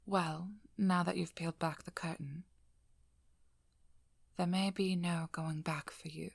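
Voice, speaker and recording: A shrewd female vampire hunter, acted by a woman in an intimate ASMR role-play. Her low voice is gravelly with fry and often drops to a breathy half-whisper. She is blunt, probing and dry, recorded very close with no room sound. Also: fairly even intonation, slow pace, dry recording, heavily acted. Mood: hushed, measured. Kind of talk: ASMR character role-play, speaking softly to the listener.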